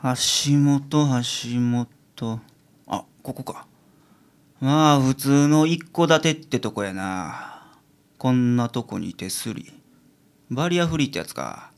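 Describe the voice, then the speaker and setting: A man acting in a radio drama as a gruff, money-minded private detective with a Kansai accent, blunt and shrewd. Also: medium pitch, slow pace. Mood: muttering, observant. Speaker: amateur radio drama actor.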